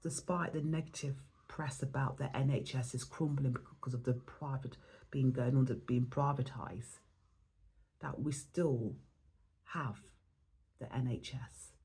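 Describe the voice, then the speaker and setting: A woman from England, hoarse and losing her voice, talking in a reflective, rambling way as she gives an oracle card reading in a spiritual vlog. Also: a bass voice, measured pace, warm tone.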